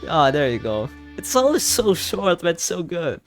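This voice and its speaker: A young man giving casual game reaction commentary, excitable and thinking aloud. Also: a little high, unhurried. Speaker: gaming YouTuber. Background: game music.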